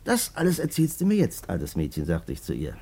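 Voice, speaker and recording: An actor playing a wry, colloquial witness who emphatically recounts a case in a radio drama. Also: male voice, deep voice, wildly swooping pitch, brisk, warm tone, slightly rough, exaggerated voice. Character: animated male witness recounting a tangled fraud case.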